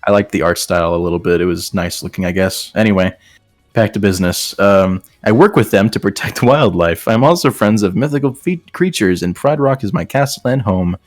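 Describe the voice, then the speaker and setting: A man with a lowish voice reads aloud and keeps breaking off to joke about it. His delivery is casual and sarcastic, like commentary among friends. The sound is dry and close, with faint music behind him. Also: animated, fairly quick, no room sound. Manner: joking, sarcastic, breaks off reading to riff. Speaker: host reading and mocking fan fiction. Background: faint background music.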